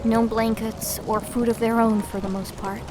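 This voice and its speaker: A woman playing a solemn, gentle ninja warrior in a fantasy audio drama, speaking in a subdued and formal way. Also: a little high, little pitch movement, moderate pace, noisy background, lightly acted.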